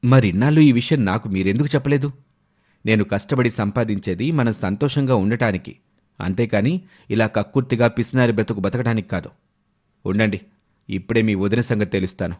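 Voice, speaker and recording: A man's voice: fairly low pitch, a little fast, a little mellow, voice pushed down, close to an everyday voice. A man playing an indignant, hardworking husband who scolds and questions in a moral story cartoon. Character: indignant hardworking husband, protective elder brother. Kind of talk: animated moral story voice acting.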